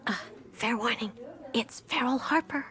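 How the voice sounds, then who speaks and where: A woman's voice: on the high side, measured pace, pushed and bright. An actress playing a blunt, salty small-town woman with a folksy, wry manner in an audio drama.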